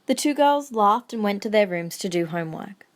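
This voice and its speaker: Give me a female story narrator, medium pitch. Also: animated, normal speed.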